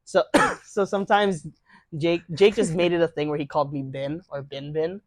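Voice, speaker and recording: A man's voice: fairly high pitch, steady tone, moderate pace, no echo. A young man chatting casually with friends as podcast host, rambling through stories and laughing often. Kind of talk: casual chat among friends.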